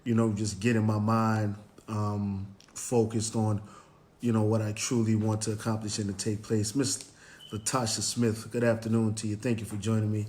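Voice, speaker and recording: A man hosting a motivational Facebook Live show, hoarse and raspy from cheering, greeting viewers by name, with plenty of "um" and "you know". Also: lowish voice, little pitch movement, normal speed, a little mellow. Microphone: live stream, phone or webcam.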